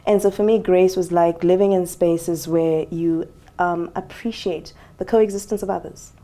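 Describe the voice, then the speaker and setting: A woman from Zambia talking reflectively as an interview guest, expressive, with frequent fillers and ready laughter. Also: lowish voice, normal speed.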